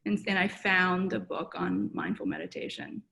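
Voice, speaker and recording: A woman psychotherapist from South Korea, answering interview questions thoughtfully over a video call, with many pauses and fillers. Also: on the low side, steady tone, creaky, a bit bright. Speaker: guest psychotherapist. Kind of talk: reflective personal interview answers.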